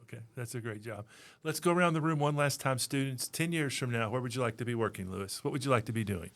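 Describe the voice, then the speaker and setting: A man's voice: mid-range voice, fast talker, a bit bright. Chatty Texan man hosting. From Texas. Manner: friendly, chatty, with restarts. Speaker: podcast host and campus leader. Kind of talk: casual interview chat.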